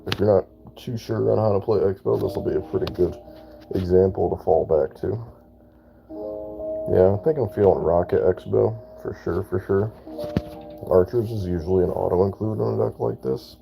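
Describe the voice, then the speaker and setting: A man with a very low, gravelly, raspy voice talks in a relaxed, chatty way as he streams a game and reads out viewer comments. Music plays clearly in the background. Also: moderate pace, rough recording. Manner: laid-back, chatty, reads out chat comments. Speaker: gaming streamer. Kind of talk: casual live gameplay commentary.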